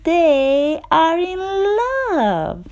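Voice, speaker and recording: A cheerful little storybook pig, voiced by a woman, high-pitched and very slow. It gives helpful news in a drawn-out, matter-of-fact singsong with animated pitch. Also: noisy background, full character voice.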